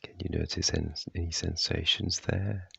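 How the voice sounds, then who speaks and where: A man guiding a body scan meditation with gentle, unhurried, measured phrasing and long pauses. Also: sits low, level tone, vocal fry, husky, dry recording, highs cut off. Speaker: meditation guide.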